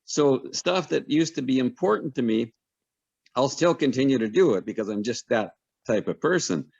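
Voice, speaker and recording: A man's voice: medium pitch, expressive, moderate pace, creaky, darkish tone, slightly rough, no echo. Guy on a video call talking earnestly, lots of ums, muffled dry sound. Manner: earnest, reflective, many ums. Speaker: guest panelist, pickleball player. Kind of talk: casual personal testimony.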